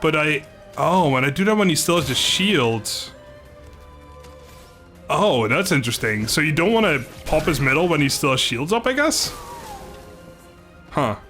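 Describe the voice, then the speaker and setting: A man's voice: fairly high pitch, big pitch swings, normal speed, long pauses, pushed and bright, faint music behind. A man doing casual live game commentary, chatting informally with viewers, joking and swearing mildly. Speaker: game streamer.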